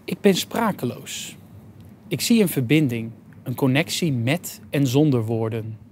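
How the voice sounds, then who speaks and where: A man's voice: medium pitch, lively intonation, measured pace, darkish tone, a little hiss or hum. A man reciting a reflective poem as spoken word. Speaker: poet reciting.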